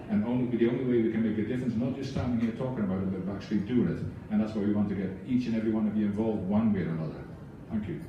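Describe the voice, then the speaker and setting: A man's voice: on the low side, dark tone, dull audio, a little hiss or hum. A man on a book club's leadership team, speaking to an audience with a foreign accent in an earnest, passionate way, with many restarts. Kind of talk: speech to an audience. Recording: echoey room, voice from far off.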